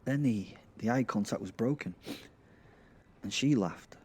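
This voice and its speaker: A man hosting and narrating an audio drama podcast in an informal, halting, self-deprecating way. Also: fairly low pitch, darkish tone, slightly rough. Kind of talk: first-person audio drama storytelling and informal address.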